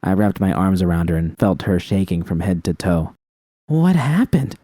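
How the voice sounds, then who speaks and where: Mellow, deep male story narrator. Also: little pitch movement, a little fast, dry recording. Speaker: audiobook narrator of fan fiction. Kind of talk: dramatic first-person scripted narration.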